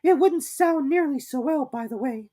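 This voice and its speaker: Woman voicing a tiny squeaky anxious piglet. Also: animated, normal speed, mellow. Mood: timid, fretful. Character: small anxious squeaky male piglet, eager and timid.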